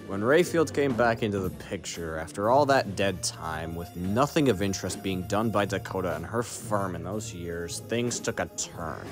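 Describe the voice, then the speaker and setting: A man acting as a hardened covert operative with a low voice. He is guarded and resolute, talking in short deadpan phrases like a spy-drama veteran. Music plays clearly underneath. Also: moderate pace, few pauses. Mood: grave, resolute. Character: guarded, battle-hardened male syndicate operative, dry and resolute. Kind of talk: dramatic audio roleplay acting.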